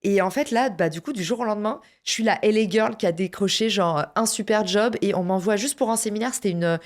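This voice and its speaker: A French woman telling a personal story in a casual interview, lively and slangy, with many fillers and restarts. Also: mid-range voice, a little fast. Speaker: podcast guest, entrepreneur.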